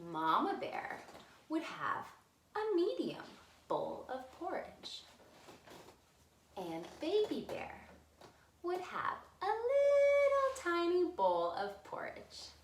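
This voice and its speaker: Slow, animated woman storyteller, echoey room. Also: medium pitch, slight background noise. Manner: simple, deliberate phrasing addressed to small children. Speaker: children's librarian storyteller. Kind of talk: expressive storytime storytelling for young children. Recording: roomy, echoey room sound.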